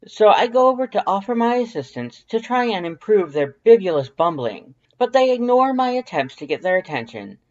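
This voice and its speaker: A woman's voice: mid-range voice, big pitch swings, normal speed, hardly any pauses, darkish tone, highs cut off, natural voice. Woman playing a theatrical, prissy male skeleton, swooping intonation. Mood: animated, playful. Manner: formal, grandiose, enunciated delivery with ornate words. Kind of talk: fan fiction audio drama acting.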